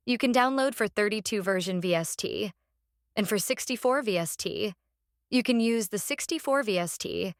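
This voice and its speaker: A woman who speaks English as a second language hosts a step-by-step software tutorial in a halting, repetitive instructional way. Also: mid-range voice, no room sound, studio quality. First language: non-native English speaker. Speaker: tutorial host.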